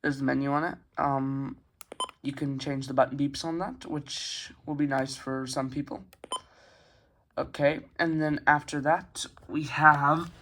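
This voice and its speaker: A young man with a medium-pitched voice talks through a hobby showcase at home. He is informal and a bit halting, speaking casually into a handheld phone as a reviewer would. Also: little pitch movement, a bit bright, a little hiss or hum. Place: carpeted room at home.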